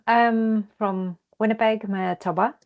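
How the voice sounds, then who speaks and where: A woman from Ukraine introducing herself to a group over a video call, halting and searching for words. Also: mid-range voice, steady tone. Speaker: counseling student. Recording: dull lo-fi video call audio.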